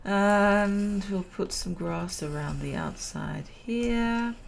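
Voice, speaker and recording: A woman commentating a building game at a relaxed pace, chatty and self-amused, and she is losing her voice. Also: medium pitch, slow, vocal fry, slight background noise. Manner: chatty, self-amused, laughs at own jokes. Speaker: gameplay commentator. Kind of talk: relaxed let's-play commentary.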